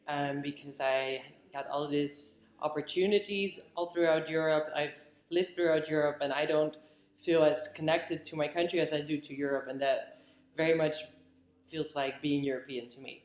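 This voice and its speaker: A woman from the Netherlands giving open, personal interview answers in a measured way. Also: low voice, darkish tone, roomy sound. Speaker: event participant. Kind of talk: casual on-camera interview.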